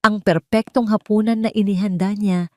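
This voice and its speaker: A woman narrating a scripted romance drama in a measured, dramatic storytelling manner. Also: mid-range voice, steady tone, no echo, clean studio sound.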